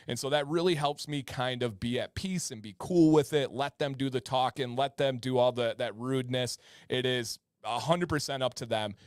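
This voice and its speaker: A man's voice: mid-range voice, no room sound, clean studio sound. A man in his thirties hosting a live-stream chat show, chatty and prone to repeating words and restarting sentences. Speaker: host.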